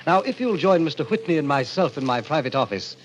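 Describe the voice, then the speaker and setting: Suave, sinister club president, swooping delivery. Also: male voice, on the high side, big pitch swings, quick pace. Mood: suave congratulation. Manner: suave, formal, ceremonious, hypnotic. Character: polished, sinister gentleman president of a deadly card club. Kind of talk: 1940s radio acting. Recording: dull, noisy old radio recording.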